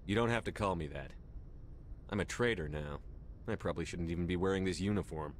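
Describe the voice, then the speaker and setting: A man playing a steady, confident military commander in a video game, terse and firm. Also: sits low, a little fast, a little hiss or hum, natural voice. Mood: sober. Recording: music behind the voice.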